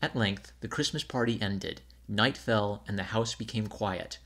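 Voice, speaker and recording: A man's voice: fairly low pitch, a little slow, a bit bright. A man narrating a scripted children's story as a storybook reader.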